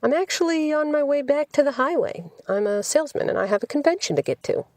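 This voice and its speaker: A polite travelling salesman voiced by a female narrator in an audiobook. He speaks formally and presses gently, with a dry, close sound. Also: high-pitched, expressive, fast, dry recording, voice pushed up. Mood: civil. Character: smooth-talking, courteous salesman, a handsome stranger.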